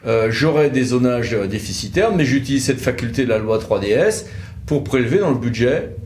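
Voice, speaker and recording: The room rings noticeably around this man's voice, with a slight noise underneath. He has an average-pitched voice and explains legal matters conversationally as an expert. His delivery is didactic and leans on repetition to make a point. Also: expressive, normal speed, a little mellow. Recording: echoey room with light noise.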